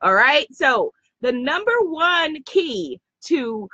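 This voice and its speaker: A woman with a slightly hoarse voice hosts a live stream, effusively greeting viewers by name. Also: sits high, sing-song, slow, bright, forceful tone, dry recording, highs cut off. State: slightly lost voice. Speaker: host of a live spiritual business stream.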